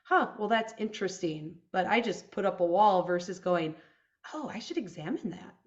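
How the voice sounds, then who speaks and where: A woman in her forties co-hosting a podcast, talking in a reflective, animated way about self-awareness. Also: mid-range voice, moderate pace, dull audio. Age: 40s. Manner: reflective, animated storytelling with laughs. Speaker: podcast co-host. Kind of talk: casual conversation. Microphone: video call.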